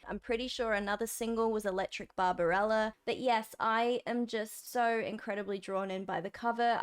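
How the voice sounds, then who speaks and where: A young woman hosting a music reaction channel, chatting brightly to camera in a slightly croaky voice. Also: mid-range voice. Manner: bubbly, enthusiastic. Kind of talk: casual chat to camera.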